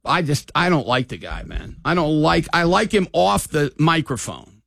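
A man's voice: fairly high pitch, big pitch swings, moderate pace, creaky, fairly bright tone, dry recording, clean studio sound. An older man hosting sports talk radio, rambling and opinionated in a casual way.

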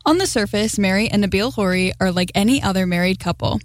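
A woman reporter voices a public radio feature in a smooth, measured read. Her pitch sits at the middle and the studio sound is dry and close. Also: no echo. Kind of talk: scripted news feature narration.

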